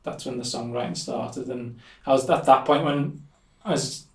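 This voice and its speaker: A young man with a Liverpool accent talks through his story at an easy, meandering pace, dropping in plenty of "um" and "like." His pitch stays fairly flat and steady. The recording has him somewhat off the mic in a room with a noticeable echo. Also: moderate pace, recorded from a distance. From Liverpool, England. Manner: relaxed, rambling, many fillers like "um" and "like". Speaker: musician guest. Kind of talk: casual interview chat.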